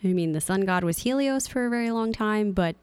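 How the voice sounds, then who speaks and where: A woman's voice: medium pitch, expressive, fairly quick, darkish tone, smooth. A woman co-hosting a podcast, mixing casual chat with a prepared, informative segment full of asides. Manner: informative, reads notes with frequent asides and laughs. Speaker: podcast co-host.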